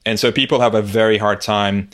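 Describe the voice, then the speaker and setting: A man from Turkey hosting an interview podcast, asking his guest questions in a measured, conversational way. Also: fairly low pitch, fairly even intonation, fairly bright tone. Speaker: podcast host.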